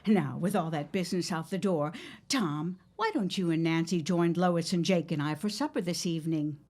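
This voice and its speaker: A woman's voice: fairly low pitch, moderate pace, darkish tone, close to an everyday voice. An actress playing a stern, protective older mother and storekeeper in a period audio drama, firm and no-nonsense. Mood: firm, settling.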